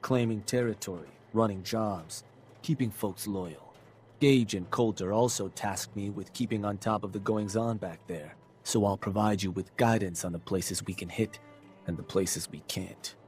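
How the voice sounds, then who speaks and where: An actor playing a cocky, scheming raider adviser in a video game, talking in a persuasive, sardonic way. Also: male voice, lowish voice, moderate pace, darkish tone, slight background noise, natural voice. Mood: coaxing. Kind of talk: video game character dialogue.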